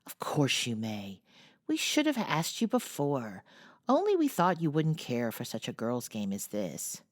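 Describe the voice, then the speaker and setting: A woman voicing a frank, outspoken teenage girl in a storybook reading, blunt and brisk. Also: rumbling low, huge pitch swings, no echo, clean studio sound, heavily acted.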